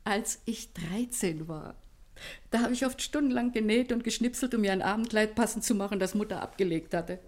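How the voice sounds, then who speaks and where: An actress playing a homely, chatty wife who talks in an intimate, conversational way in a German radio play. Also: female voice, mid-range voice, normal speed, a little mellow, lightly acted. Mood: wistful, amused. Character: commissioner's wife, homely, chatty, gently reminiscing.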